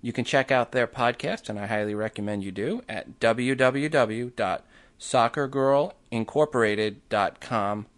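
A man delivers a podcast outro in an upbeat announcer manner. His reading is scripted and even. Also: mid-range voice, unhurried. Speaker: podcast host.

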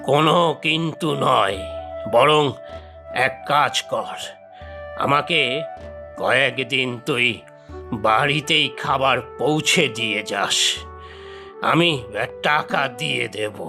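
A man voicing an ailing, generous but firm old uncle in a cartoon, speaking in halting, weary phrases. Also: mid-range voice, gravelly, over music. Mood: insistent. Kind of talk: Bengali cartoon dubbing.

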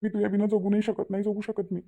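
A man's voice: high voice, completely flat delivery, rapid-fire, gravelly, phone-quality sound. A man with a Varhadi Marathi accent voicing a devoted, insistent young fiance in a cartoon family drama. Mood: insistent, reassuring. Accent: Varhadi Marathi from Vidarbha. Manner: colloquial, emphatic repetition.

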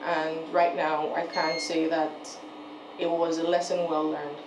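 A woman who grew up in Nigeria, a head chef and business owner, answering interview questions from her office desk in a relaxed, conversational way, often restarting and correcting herself. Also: low-pitched, a little slow. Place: office, indoors. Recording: roomy with background noise.

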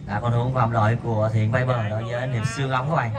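A man's voice: level tone, fast talker, distant mic, a loud crowd. A man commentating a local night football match, reading viewer messages and rising sharply on shots. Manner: reads viewer messages between plays, jumps sharply higher on shots. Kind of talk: live amateur football commentary. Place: outdoor floodlit five-a-side pitch at night.

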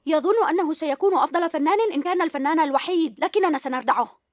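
A woman's voice: high voice, very fast, slight background noise, natural voice. A woman playing an earnest boy cat hero. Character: earnest young boy cat superhero, the team leader. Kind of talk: cartoon dub acting.

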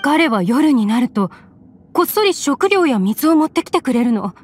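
A woman voicing a gentle mother in a game, speaking softly and politely. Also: high voice, sing-song, moderate pace, slight background noise. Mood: thoughtful. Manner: soft, polite and anxious. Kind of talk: game voice acting.

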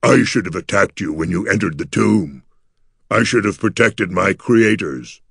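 A man voicing a gentle, timid, glum gargoyle in a fantasy audiobook, with a gravelly, husky voice and mournful delivery. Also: low-pitched, expressive, a little slow, no echo, clean studio sound, exaggerated voice. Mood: glum, sorrowful.

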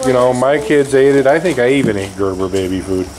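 A man's voice: mid-range voice, a little fast. An older man vlogging casually with his family, thinking aloud with restarts, in a bare concrete room on a camera mic. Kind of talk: casual chat. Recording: echoey room with loud background noise.

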